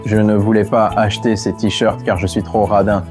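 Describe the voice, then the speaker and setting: A man plays an affectionate young groom-to-be speaking in a dubbed reality show testimonial. Music runs clearly beneath his words. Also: lowish voice, little pitch movement, normal speed, natural voice. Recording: music playing under the voice.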